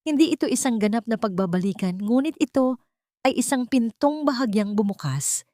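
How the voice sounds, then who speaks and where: A woman with a Filipino accent narrating a scripted dramatic story in a measured, earnest, sentimental way. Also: a little high, expressive, dark tone, no room sound, clean studio sound.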